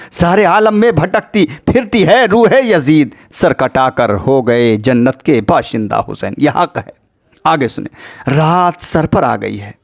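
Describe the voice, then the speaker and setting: A man delivering a mournful religious sermon as dramatic storytelling, grieving and emotive, with drawn-out pauses and recited verse. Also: fairly high pitch, dry recording, studio quality. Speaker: religious orator narrating the Karbala tragedy.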